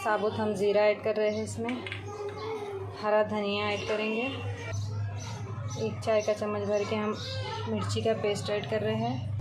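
A woman hosting a cooking channel, calmly explaining a recipe step by step from her home kitchen. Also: mid-range voice, noisy background.

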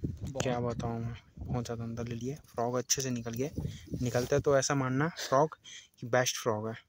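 A man's voice: medium pitch, level tone, fairly quick, a little hiss or hum. A young man filming a casual fishing vlog outdoors by a river, talking to his viewers as friends. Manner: chatty, addresses viewers as friends. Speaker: fishing vlogger. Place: riverbank outdoors below a dam.

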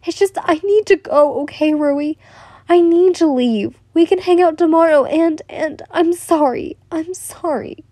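Young big-sister role, acted by a woman: caring, torn, apologetic, mellow tone, close dry sound, audio story. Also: on the high side, measured pace, dry recording. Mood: urgent pleading. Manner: pleading, apologetic, soothing.

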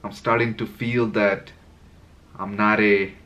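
A man's voice: fairly low pitch, level tone, slow, sharp tone. A man in his twenties talking unscripted to camera in a room at home, candid and a little rambling as he sorts his thoughts. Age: 20s. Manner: candid, rambling, searching for words. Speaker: vlogger. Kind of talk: unscripted reflective monologue to camera. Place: indoor room with curtains.

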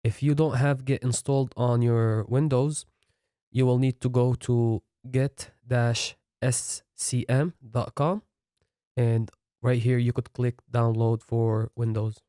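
A man talks through a coding setup tutorial in a steady, step-by-step way. His voice is mellow and dark, at an ordinary pitch. It is recorded dry and close, as a voice-over. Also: slow pace, dry recording. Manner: plain step-by-step explaining. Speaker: software tutorial instructor.

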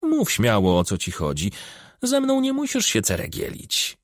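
A man voicing an old village witch, bossy and sly, with a screechy, croaking voice and a commanding, self-assured manner. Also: medium pitch, wildly swooping pitch, normal speed, no echo, studio quality, voice pushed up. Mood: brusque, commanding.

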